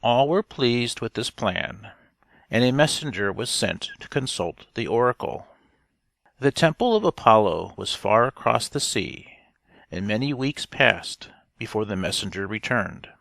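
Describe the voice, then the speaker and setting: A man narrates a tale as an audiobook reader. His delivery is slow and deliberate, with a fairly low voice that has a creaky edge. The sound is dry, with no room on it. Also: expressive, fairly bright tone, no room sound. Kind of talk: scripted storybook narration.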